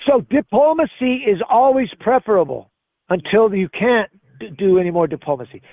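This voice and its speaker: A man's voice: high-pitched, lively intonation, normal speed, no room sound, narrow, tinny audio. A middle-aged or older man from Spain talking politics on a call, insistent and repeating himself for emphasis. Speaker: caller. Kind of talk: opinionated political conversation. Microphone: phone or voice call.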